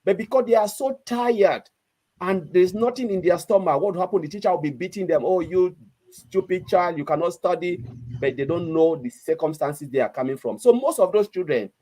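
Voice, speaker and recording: A man with a Cameroonian accent and a fairly high voice speaks off the cuff on a panel. He is earnest and repeats his words for emphasis. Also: lively intonation, moderate pace, warm tone, rough recording. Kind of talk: casual, unscripted discussion.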